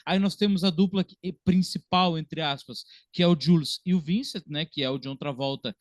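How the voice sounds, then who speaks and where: A high-pitched male voice with a Brazilian accent, recorded dry and close. He discusses films in a colloquial, emphatic way, as a co-host would in a relaxed podcast chat. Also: moderate pace, no echo. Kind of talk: casual film discussion.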